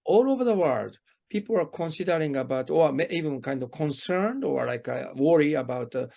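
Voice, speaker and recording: A man's voice: fairly high pitch, lively intonation, a little fast, gravelly, warm tone. A man with a Japanese accent, interviewing in a hesitant, roundabout way and feeling for his phrasing. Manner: hesitant, circling phrasing with hedged questions. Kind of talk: conversational phone interview.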